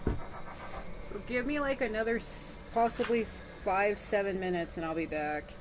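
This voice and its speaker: A woman's voice: mid-range voice, lively intonation, a little slow. Female game streamer, mid-range voice, casual rambling explanations, faint background noise. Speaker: game livestreamer. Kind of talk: casual livestream chat. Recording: noisy home livestream audio.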